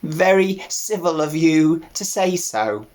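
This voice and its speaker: A man voicing a mournful old female sheep with a slow, drawling dialect, in an audiobook. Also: a little high, animated, a little mellow, pitched above the performer's own voice. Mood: grumbling. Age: older. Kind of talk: audiobook character voice.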